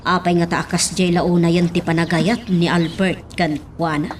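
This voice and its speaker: A woman's voice: lowish voice, level tone, moderate pace, slight background noise, natural voice. An actress playing a stern lady of the household in a radio drama, with a pointed, probing manner. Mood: probing, displeased.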